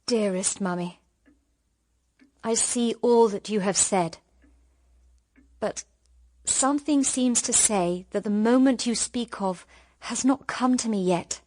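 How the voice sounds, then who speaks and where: A woman's voice: on the high side, slow, long pauses, dark tone. An actress with a British accent playing an emotional, girlish young daughter, sweet but stubborn, in radio drama style. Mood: tender, firm. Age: young adult.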